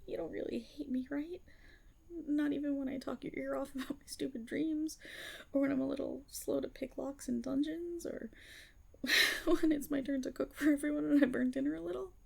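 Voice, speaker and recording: Woman voicing a timid, creaky-voiced half-dragon girl. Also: sits high, steady tone, moderate pace. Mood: anxious, vulnerable. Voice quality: breathy, often slipping toward a whisper. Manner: hesitant, nervous, stammering and rambling. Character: timid young female half-dragon rogue, anxious and proud. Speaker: roleplay voice performer. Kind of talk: intimate ASMR roleplay, character acting.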